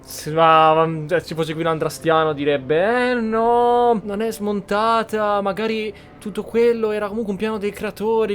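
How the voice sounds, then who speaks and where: An Italian man giving casual gameplay commentary, musing aloud as he plays. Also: sits high. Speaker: gaming channel host. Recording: game music behind the voice.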